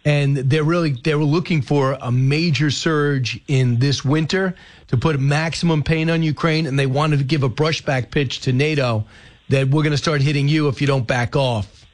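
A man hosting a talk radio show, chatting casually about politics and firing off questions with quick asides. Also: a little high, fairly even intonation.

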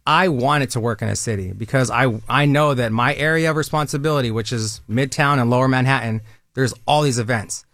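A man in his thirties talks in a persuasive, friendly way, like a recruiter on a radio interview. His voice sits at a middle pitch. The sound is dry and close with no room echo. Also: fairly quick, a bit bright. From Southern California. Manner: upbeat, persuasive, conversational. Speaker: military recruiter guest.

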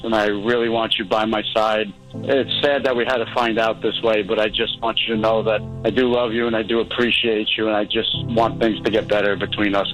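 A man's voice: on the low side, a bit bright, poor audio. Pleading man on a replayed call. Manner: pleading, emotional, halting. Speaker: caller in a replayed segment.

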